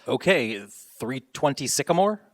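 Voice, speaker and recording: Gruff cab driver acted by a man, medium male pitch, blunt and folksy, dry radio-play sound. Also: expressive, moderate pace, no room sound, natural voice. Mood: wary, blunt. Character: wary, gruff male working-class taxi driver. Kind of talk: live radio play acting.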